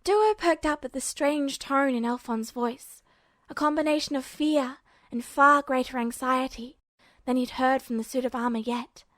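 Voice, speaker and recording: A woman's voice: high voice, moderate pace, fairly bright tone, no room sound, clean studio sound. A woman reading scripted fiction as the narrator of an amateur audiobook. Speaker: podfic narrator.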